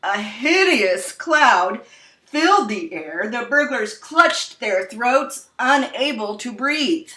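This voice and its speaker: A woman reading a children's story aloud expressively, with dramatic, drawn-out emphasis. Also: a little high, animated, bright, forceful tone. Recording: roomy echo, distant microphone.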